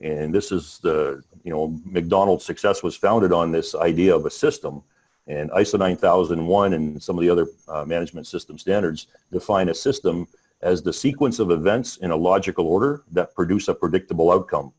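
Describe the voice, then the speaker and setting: A man with a very low voice gives a business webinar presentation. He speaks haltingly, stopping and restarting his sentences and filling the gaps with "uh." He sounds like a panelist on a call. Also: a little mellow, breathy edge. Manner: halting, many restarts and fillers.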